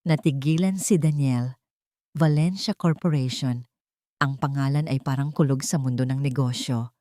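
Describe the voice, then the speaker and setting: A woman reading a scripted romantic drama as a storyteller, with a measured, dramatic delivery. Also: rumbling low, steady tone, mellow, dry recording, clean studio sound. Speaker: story narrator.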